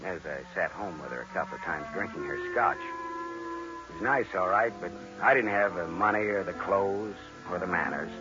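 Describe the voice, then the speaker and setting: An actor playing a tough, sardonic American private detective in 1940s radio crime drama style, with a needling, clipped delivery. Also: male voice, deep voice, lively intonation, normal speed. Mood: wry narration. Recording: muffled vintage radio recording with music.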